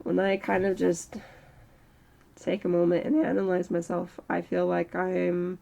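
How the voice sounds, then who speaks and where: A woman in her early thirties speaks in a slow, halting, reflective way, with a strained throat. Her voice is mellow and dark, with a gravelly edge, and sits a little low for a woman. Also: monotone. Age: early 30s. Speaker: channel host. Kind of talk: personal mental health vlog monologue.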